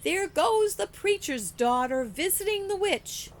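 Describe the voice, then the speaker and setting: A woman's voice: very high-pitched, animated, unhurried, voice pushed up. A woman voicing a taunting, tattling little boy in a storybook, with scolding, sing-song threats. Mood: jeering.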